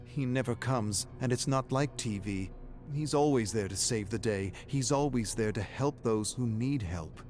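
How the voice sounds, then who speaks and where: A man narrating a dark horror story in a dramatic, scripted style, with a measured, grave and brooding delivery. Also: mid-range voice, soft background music.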